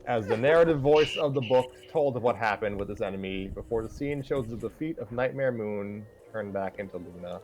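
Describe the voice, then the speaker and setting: A man's voice: lowish voice, expressive, a little fast, few pauses, a little mellow, slight background noise, poor audio. A man hosting and narrating a live read-along of a fan story. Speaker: host and narrator.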